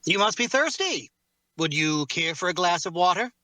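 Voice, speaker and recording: Man playing a bright-voiced, composed, menacing captor. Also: high voice, very animated, no room sound, full character voice. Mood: solicitous. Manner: measured, formal, precise phrasing. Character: composed, faintly menacing male captor tending his prisoner. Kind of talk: audio drama table read.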